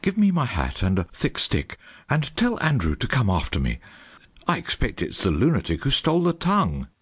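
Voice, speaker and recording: A proper country vicar for a storybook, flustered and earnest. The man's voice is husky and deep, with wildly swinging pitch. He speaks with deliberate, pompous exclamations. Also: wildly swooping pitch, full character voice. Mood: alarmed.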